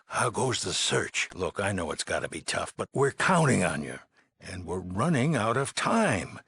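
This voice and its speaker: An actor playing a weary, anxious middle-aged male leader of an underground shelter in a video game, with a raspy, gravelly voice and halting, earnest delivery. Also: low-pitched, big pitch swings, a bit bright, no room sound, heavily acted. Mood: concerned, earnest. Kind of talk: dramatic game dialogue acting.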